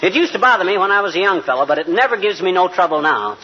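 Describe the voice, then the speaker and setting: Blustery folksy husband, old radio comedy. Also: male voice, high-pitched, sing-song, fast talker, pushed and bright, breathy edge, full character voice. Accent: American. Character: blustery, folksy, indignant middle-class American husband. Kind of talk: 1940s radio comedy acting. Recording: dull vintage radio with studio audience, noise.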